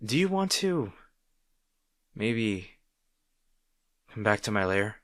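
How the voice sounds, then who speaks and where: A man playing a grudgingly protective supervillain rival in an intimate audio roleplay, sarcastic and exasperated but caring, speaking haltingly to the listener. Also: on the low side, sing-song, dry recording, studio quality. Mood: reluctant, caring. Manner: halting, sighing, exasperated yet soft.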